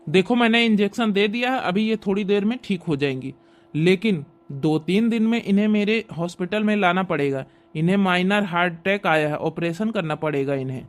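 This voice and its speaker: A man playing a brisk, insistent village doctor in a cartoon comedy, talking matter-of-factly. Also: high-pitched, expressive.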